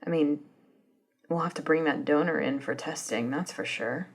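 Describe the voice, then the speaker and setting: A woman playing an eager, polite female office and lab assistant in a soft-spoken ASMR roleplay, with a breathy, murmuring, slightly hesitant delivery. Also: deep voice, normal speed. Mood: curious, casual. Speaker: voice actor in scripted ASMR roleplay.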